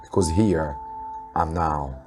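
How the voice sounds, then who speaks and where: A man, an Arabic speaker, reading a story to students as a teacher, with deliberate theatrical emphasis. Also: extremely low pitch, over music. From Egypt. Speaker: English teacher.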